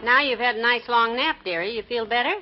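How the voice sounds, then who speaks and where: An actress playing a sensible, patient middle-aged wife in 1950s radio comedy, motherly and gently scolding. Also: fairly high pitch, fast, over music, lightly acted. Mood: soothing. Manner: matter-of-fact, motherly. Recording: muffled vintage radio recording.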